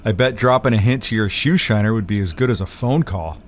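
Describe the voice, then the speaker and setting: A man's voice: on the low side, a little fast, a little hiss or hum, close to an everyday voice. A man playing a cocky, slangy, dim-witted tough guy in a 1940s noir audio drama. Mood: cocky, eager. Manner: slangy, brash, casual.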